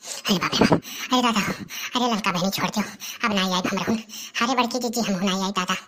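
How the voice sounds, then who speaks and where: A woman's voice: mid-range voice, brisk, background noise, close to an everyday voice. A woman playing a timid, deferential younger village sidekick in a comedy cartoon, chattering and placating in an Awadhi accent. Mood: frightened, pleading. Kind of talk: rustic comedy cartoon dialogue.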